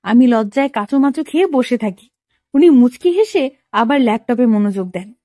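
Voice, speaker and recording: A woman acts a shy young woman in love in an audio romance. Her voice is high and dry. She sounds bashful and emotional as she speaks and narrates. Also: lively intonation, moderate pace, mellow, dry recording. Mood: shy, bemused. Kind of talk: dramatized first-person love story narration.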